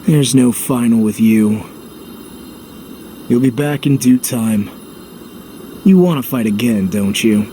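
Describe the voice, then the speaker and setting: An actor playing a blunt, stoic but loyal young hero in a video game, speaking in terse, understated phrases. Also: male voice, medium pitch, slow, pauses often, mellow, slight background noise, close to an everyday voice. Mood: firm, encouraging. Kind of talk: dramatic video game voice acting.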